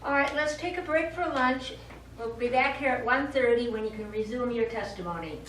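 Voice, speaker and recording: A formal, businesslike judge, played on stage by a woman, with a fairly high voice. She addresses the court officially. The stage recording is echoey and distant. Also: normal speed, fairly bright tone, slight background noise, close to an everyday voice. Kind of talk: live stage play acting. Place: small theatre studio, distant microphone.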